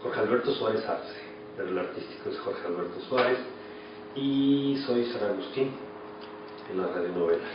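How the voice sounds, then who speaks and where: A man's voice: fairly low pitch, moderate pace. A Mexican man in his forties giving reflective answers as an actor being interviewed. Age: 40s. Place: small office indoors. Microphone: camera mic at a distance. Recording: echoey, distant, dull, noisy room.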